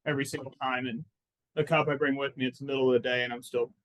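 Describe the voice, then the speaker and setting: A man in his thirties from Phoenix, a lawyer guest talking in a relaxed, chatty way, full of "like" and "I mean". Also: mid-range voice, quick pace, low-quality recording. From Phoenix, Arizona. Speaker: personal injury lawyer, guest. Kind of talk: casual interview answers.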